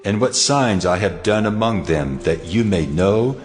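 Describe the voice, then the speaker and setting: An actor playing the Lord God in an audio Bible drama, grave, measured and commanding. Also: male voice, fairly low pitch, lively intonation, faint music behind. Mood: solemn command. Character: the Lord God, commanding, solemn male deity.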